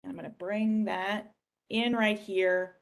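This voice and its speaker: A woman giving a casual software demonstration in a conversational, explanatory way. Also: medium pitch, fairly even intonation, slow, gravelly. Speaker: software demo presenter. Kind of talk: casual screen-share product demonstration.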